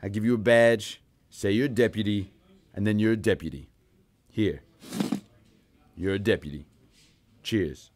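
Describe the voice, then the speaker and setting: An actor playing a gruff, domineering Texas sheriff in an Old West audio drama, with a folksy, swearing manner. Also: male voice, lowish voice, unhurried, long pauses, a little mellow. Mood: dry, authoritative. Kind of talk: western audio drama acting.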